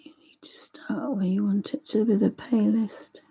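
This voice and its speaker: Woman with a croaky dark voice painting live, chatting away, bit dull sounding. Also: mid-range voice, little pitch movement, normal speed. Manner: chatty, thinks aloud while painting, greets viewers. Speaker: art channel host. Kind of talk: live painting demo chat.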